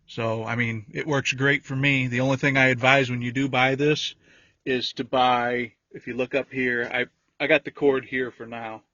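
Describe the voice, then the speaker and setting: A man's voice: mid-range voice, flat delivery, moderate pace, bright, forceful tone. A man giving a casual, folksy handheld walkthrough, talking informally and rambling a little as he explains things. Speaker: vehicle DIY video creator. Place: in and around a pickup truck cab. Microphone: handheld phone or camera. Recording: dull lo-fi audio, roomy echo.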